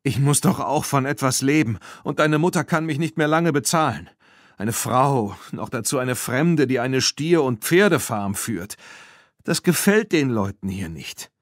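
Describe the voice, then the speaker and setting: A man's voice: medium pitch, animated, unhurried, no room sound, voice pushed up, close to an everyday voice. A man reads as a weathered old horse herder in a storybook. He is guilty and downtrodden, speaking apologetically and hesitantly in a dry, close recording. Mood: apologetic, desperate.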